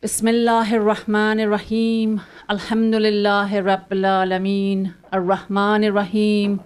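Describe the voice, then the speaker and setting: A woman's voice: mid-range voice, completely flat delivery, rapid-fire, a little mellow, smooth. A woman taking part in a group gathering, speaking or plainly reciting in a modest, slightly hesitant way. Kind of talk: casual answers and plain Quran recitation.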